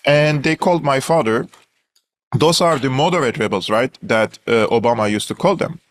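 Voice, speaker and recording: A man's voice: medium pitch, moderate pace. A young man from Syria with a Syrian Armenian accent, a guest analyst telling his story earnestly in an interview, with many fillers and restarts.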